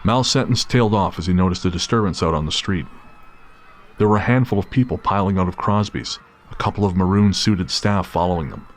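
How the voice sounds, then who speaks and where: A man narrating a scripted audio drama in a measured, grave storytelling manner. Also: sits low. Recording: city street sounds behind narration. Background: traffic and street ambience.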